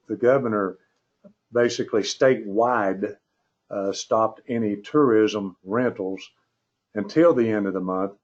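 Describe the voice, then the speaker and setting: A man chairing a formal public meeting by conference call, speaking in a measured, hesitant, courteous way. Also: on the low side, darkish tone. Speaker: county commissioner chairing the meeting. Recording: muffled conference call audio.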